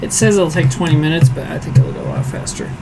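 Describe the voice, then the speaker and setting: A hoarse man hosting a casual cooking livestream from his home kitchen, chatty and self-deprecating, answering viewers aloud as he works. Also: fairly high pitch, fast talker, loud music behind the voice. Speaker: streaming cooking show host.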